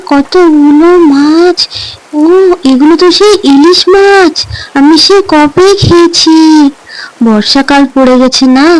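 A woman as wistful little girl, raised pitch. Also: poor audio. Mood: amazed, longing. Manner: wistful, exclaiming softly to herself. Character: poor, quiet, wistful little balloon-seller girl.

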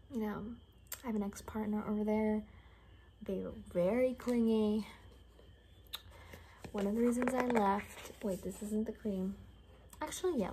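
A young woman doing an ASMR roleplay in soft, half-whispered, gossipy rambling chat. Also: mid-range voice, slight background noise, low-quality recording. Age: young adult. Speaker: ASMR performer. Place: small bedroom, indoors.

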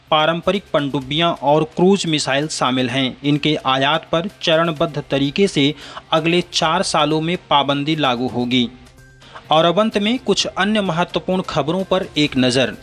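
A man presenting a scripted news bulletin in a formal, measured way. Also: a little high, a bit bright. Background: background music.

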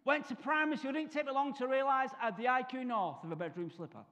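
Mancunian man, fifties, very high voice, comic storyteller, church hall reverb. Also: quick pace, a bit bright. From Salford, Manchester. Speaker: guest speaker. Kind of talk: testimony talk at a church service. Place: large church hall, stage.

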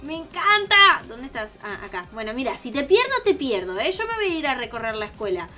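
A woman's voice: high voice, a little fast. A young woman with an Argentine Spanish accent, chatting playfully and expressively with a friend as she plays a game. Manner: expressive, exclamatory, teasing. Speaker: gaming YouTuber. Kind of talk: playful gameplay chat with a friend. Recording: voice over background music. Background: background music.

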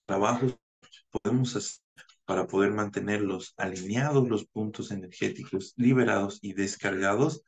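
A man in his thirties from Bolivia, a naturopath giving a measured online conference lecture over a webcam, with frequent hesitation fillers. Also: fairly low pitch, gravelly. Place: home room, indoors. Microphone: video call webcam.

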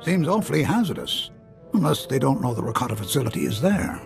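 A man's voice: mid-range voice, very animated, fast, creaky, soft background music. A man playing a dignified, earnest middle-aged father and senator in a video game, formal and reassuring.

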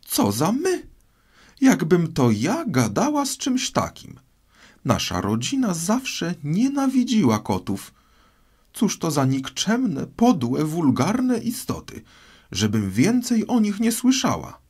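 A man's voice: on the high side, huge pitch swings, slow pace, a little mellow, husky, voice pushed up, exaggerated voice. A man voicing an easily offended female mouse in a storybook, shrieking with passion and scorn. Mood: indignant fury. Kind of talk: storybook character voice.

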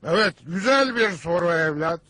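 A man's voice: sits high, expressive, moderate pace. A man voicing a blustery, boastful father in a Turkish cartoon dub, with a theatrical delivery. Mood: self-important storytelling. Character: blustery father spinning tall war stories. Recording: loud music under the voice.

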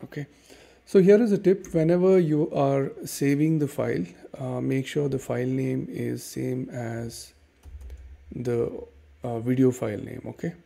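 Man, Indian accent, gravelly, mellow tone, relaxed tutorial host, webcam at a desk at home. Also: medium pitch, unhurried. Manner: relaxed, many fillers and "okay"s, explains step by step. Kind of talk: casual screen-recorded software tutorial. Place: dim room at home.

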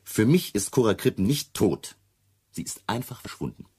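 A man's voice: lowish voice, very animated, normal speed, mellow, husky, exaggerated voice. An actor playing an earnest, suspicious man insistently reporting a friend missing in a radio play. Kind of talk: German radio drama dialogue.

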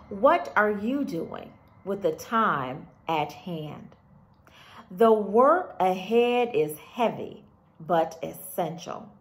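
Slow, measured woman guest speaker. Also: mid-range voice. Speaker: museum director, guest speaker. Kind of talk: prepared address. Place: home office with bookshelf.

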